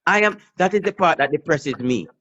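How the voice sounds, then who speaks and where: Earnest Jamaican pastor on a dull line. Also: male voice, on the high side, expressive, brisk, fairly bright tone. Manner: earnest, repetitive, emphatic. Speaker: caller, pastor. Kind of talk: call-in conversation.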